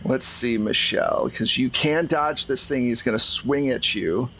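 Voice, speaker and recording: A man's voice: medium pitch, unhurried, sharp tone, faint music behind. A man running a tabletop roleplaying game, narrating and explaining in a conversational, improvised way. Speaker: game master.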